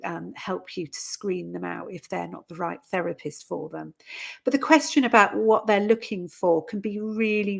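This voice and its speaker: A woman giving an informal instructional talk as a coach, explaining conversationally with soft qualifiers and example phrases. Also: medium pitch, gravelly. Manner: conversational, explanatory, hedging with "sort of" and "you know". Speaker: coach and trainer for therapists.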